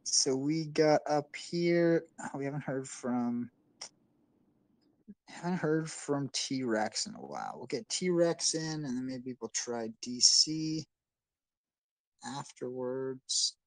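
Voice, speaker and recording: An upbeat moderator calls speakers up one after another, in a loud and friendly way. The audio is dry. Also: mid-range voice, lively intonation, slow pace, dry recording, poor audio. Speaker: voice chat moderator. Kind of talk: hosting a voice chat.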